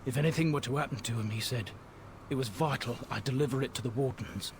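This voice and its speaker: A man acts out a wounded royal guard on the run in a fantasy video game. He is mid-pitched, earnest and weary, heavy with guilt, with faint noise behind him. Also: fast talker, natural voice. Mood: rueful. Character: wounded, guilt-ridden fugitive soldier, former royal guard. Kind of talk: dramatic fantasy game acting.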